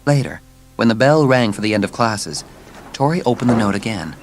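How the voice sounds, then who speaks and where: A man's voice: lowish voice, lively intonation, brisk, a little hiss or hum. A man narrating a scripted children's story as an audiobook narrator.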